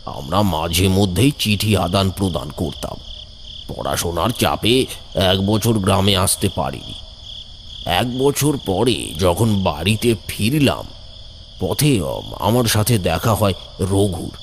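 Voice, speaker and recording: A man narrating a first-person horror story, somber and measured. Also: sits low, very animated, slight background noise. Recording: background music.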